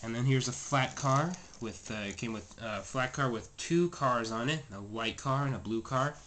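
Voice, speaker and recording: A man's voice: mid-range voice, normal speed, fairly bright tone. A man with a stuffy, scratchy voice giving a rambling, casual tour of his train room, full of fillers and restarts. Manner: rambling, many fillers and self-corrections. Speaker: toy train vlogger. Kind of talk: casual show-and-tell narration. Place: home train room, indoors. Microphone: handheld camera mic, filming close.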